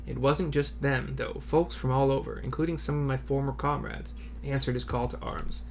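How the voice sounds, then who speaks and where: A man voicing a sober, cautious resistance soldier in a fantasy game, in a measured, grave manner. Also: medium pitch, fairly even intonation, vocal fry, slight background noise, lightly acted.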